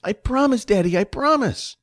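A man voicing an excitable, sweet little boy in a storybook, with an eager, squealing manner. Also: high voice, normal speed, dry recording, clean studio sound, pitched above the performer's own voice. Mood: eager pleading.

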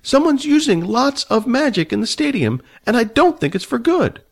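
Male narrator voicing a prim old wizard, high fussy voice, haughty and nosy, storybook read-aloud. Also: very animated, moderate pace, vocal fry, exaggerated voice. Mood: inquisitive. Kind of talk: storybook reading.

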